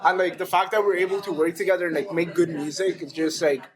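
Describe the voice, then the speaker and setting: A man's voice: high voice, little pitch movement, quick pace. A man from India in a band, chatting casually, stammering and laughing often. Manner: stammering restarts, laughs easily. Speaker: band member. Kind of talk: casual chat. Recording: crowd chatter behind.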